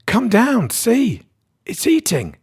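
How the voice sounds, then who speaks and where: A man's voice: extremely high pitch, wildly swooping pitch, takes it slowly, creaky, a little mellow, breathy edge, voice pushed up, heavily acted. A man voicing a blunt, impatient, sweary young woman in a short horror story. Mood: urging, intent.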